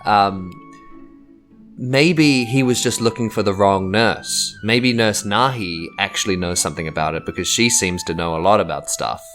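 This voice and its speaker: A man's voice: on the low side, normal speed, fairly bright tone, faint music behind. A man with a New Zealand accent talking casually through plans as a tabletop game player. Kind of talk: casual chat, in-game discussion.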